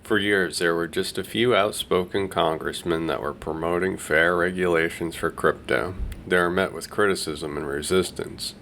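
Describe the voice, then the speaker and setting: A man's voice: sits low, steady tone, moderate pace, few pauses, a bit bright. A man hosting a video, delivering scripted commentary in a narrating style. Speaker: video host. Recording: light background noise.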